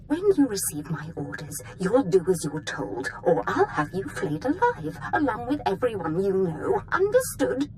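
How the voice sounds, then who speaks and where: Actress as an imperious, menacing dark lady. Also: medium pitch, very animated, moderate pace, few pauses, slight background noise, natural voice. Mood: haughty, threatening. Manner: haughty, threatening, theatrical. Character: imperious, menacing young female dark lord, daughter of a dead master. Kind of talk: scripted video game acting.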